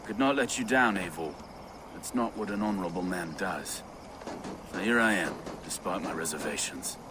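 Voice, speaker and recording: An actor playing a stoic, honourable warrior in a video game, understated and sober. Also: male voice, low-pitched, normal speed, lightly acted. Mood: reluctant loyalty. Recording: noisy outdoor ambience behind.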